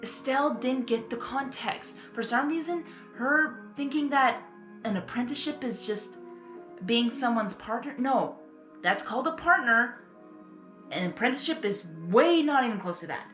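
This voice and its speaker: A woman's voice: fairly high pitch, animated, moderate pace, fairly bright tone. A woman hosting a casual reaction video, rambling and exclamatory, often repeating her phrases. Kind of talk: casual emotional reaction commentary. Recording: roomy sound with faint music. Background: faint music.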